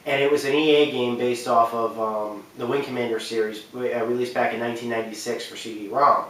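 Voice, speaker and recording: A man's voice: mid-range voice, fairly even intonation, a little fast, a bit bright. A man hosting a video game countdown with casual, unscripted commentary, stressing and repeating words for emphasis. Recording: very roomy echo, distant from mic.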